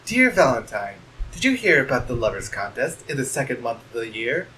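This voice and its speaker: Man playing a gentle pun-loving goat mother. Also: mid-range voice, very animated, distant mic, rough recording. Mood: sweet. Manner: slow, gentle and proper, fond of corny jokes.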